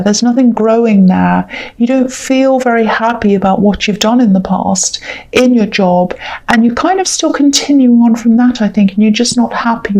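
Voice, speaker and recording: Tarot reader, woman, voice gone croaky and hoarse, a bit high, thinks aloud with ums and corrects herself. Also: a little mellow. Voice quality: musing and hesitant, with many ums and self-corrections. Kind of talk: talking to camera during a tarot reading.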